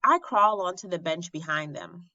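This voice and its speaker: A woman reads a children's story aloud expressively, with dramatic pauses and emphasis on key phrases. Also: on the low side, bright, forceful tone. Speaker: storybook reader at an elementary school. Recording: roomy, slightly dull lo-fi recording.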